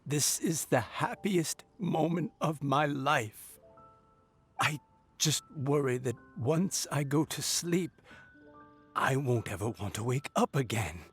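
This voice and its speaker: Game role: gentle old sweetheart, wistful, very unhurried, lively melodic pitch. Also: male voice, slightly rough, faint music behind, heavily acted. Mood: moved, tender. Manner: very slow, tender, wistful. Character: gentle, sentimental old man, lifelong devoted sweetheart. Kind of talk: video game voice acting.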